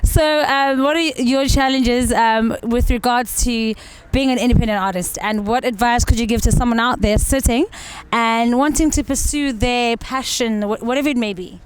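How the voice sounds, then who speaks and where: A woman's voice: on the high side, normal speed, clear, resonant tone, low-quality recording. A woman hosting a casual interview with musicians. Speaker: interview host.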